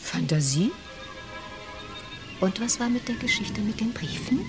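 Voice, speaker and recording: An actress playing a nosy, spiteful village gossip in a radio drama, with an insinuating, mocking manner. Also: female voice, on the high side, lively intonation, unhurried, soft background music. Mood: insistent.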